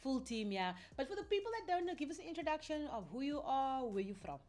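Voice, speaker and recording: Woman host, quick-talking, enthusiastic, slangy, casual interview. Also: high voice, expressive, darkish tone.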